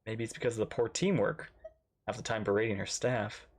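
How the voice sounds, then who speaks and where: A game streamer giving casual, playful reaction commentary, halting and repeating phrases. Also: mid-range voice, steady tone, background noise. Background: faint game music.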